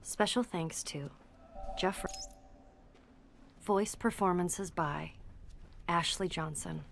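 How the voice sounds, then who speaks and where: A woman reading quotations for game audio logs in a deliberate, contemplative manner. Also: lowish voice, gravelly, a bit bright, a little hiss or hum, heavily acted.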